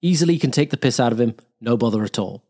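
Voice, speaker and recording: A man's voice: mid-range voice, animated, fast, dark tone, close to an everyday voice. A man playing a wartime air force airman, reading a blunt, slangy diary in a terse, clipped manner. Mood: wry, dismissive. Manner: clipped, terse, matter-of-fact.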